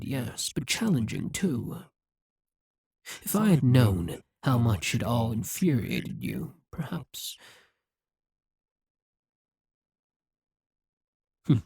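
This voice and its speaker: A performer voicing a haughty, wry demon ruler in an ASMR roleplay, speaking softly and huskily in a slow, condescending, possessive way. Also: medium pitch, no room sound, clean studio sound, heavily acted. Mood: musing, wounded. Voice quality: soft, breathy, husky.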